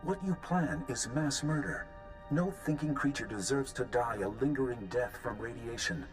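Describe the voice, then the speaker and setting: Game casting: male actor as a patient sage creature, formal, measured, mid-pitched, prominent music underneath. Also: lively intonation, natural voice. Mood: patient, grave. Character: wise patient male mutant creature, gentle Jedi teacher. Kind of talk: video game cutscene acting. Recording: music behind the voice.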